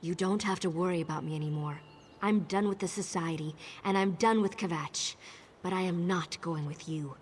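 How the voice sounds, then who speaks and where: A woman's voice: fairly low pitch, moderate pace, a little hiss or hum, natural voice. A woman playing a bitter, jaded younger sister who left a cult, guarded and sarcastic, in video game dialogue. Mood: bitter, resigned.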